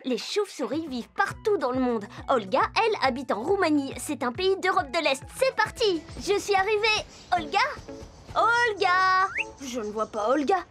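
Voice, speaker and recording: A woman's voice: high voice, very animated, moderate pace, soft background music. A woman voicing a curious, enthusiastic little girl who is the heroine of a children's cartoon. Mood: cheerful, curious. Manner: bright-eyed, inquisitive, enthusiastic. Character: curious, cheerful little girl who travels to animal friends. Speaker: main character and narrator.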